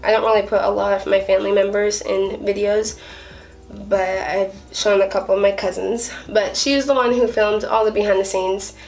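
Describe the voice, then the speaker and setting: A young woman talking casually and earnestly to camera for her vlog, chatty and a little halting. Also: medium pitch, little pitch movement, creaky, a little mellow, recorded from a distance, soft background music, rough recording. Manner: earnest, chatty, with fillers and restarts. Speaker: vlogger.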